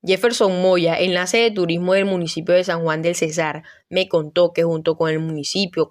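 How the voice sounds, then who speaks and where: A woman narrates a documentary podcast as its reporter. Her voice sits fairly low and stays very even, following a written script.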